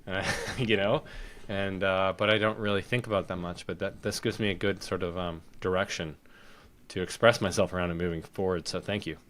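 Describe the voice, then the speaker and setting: A man with a deep voice hosting a podcast, relaxed and easygoing in casual chat. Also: fairly quick. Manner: relaxed, easygoing, laughs often. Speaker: podcast host. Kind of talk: casual conversation.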